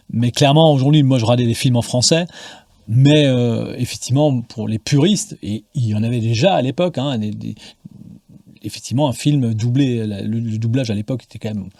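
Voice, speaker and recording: A podcast host in his fifties with a mid-range voice, chatting casually and agreeing readily with his guest. He hesitates often and fills his pauses with plenty of fillers. The recording is dry and close, with no room echo. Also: medium pitch, darkish tone. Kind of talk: casual conversation.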